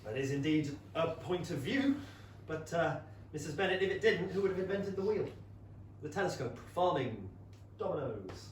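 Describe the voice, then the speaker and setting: In a very reverberant theatre, far from the mic and with clear noise, an actor plays a courteous English gentleman. His delivery is measured, dry and witty, in period comedy style. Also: fairly high pitch, animated, close to an everyday voice. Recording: very echoey distant theatre recording, noisy.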